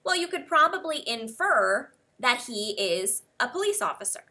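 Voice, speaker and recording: An American woman teaching English one-on-one, explaining words slowly and spelling them out letter by letter. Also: high voice, very animated, pushed and bright.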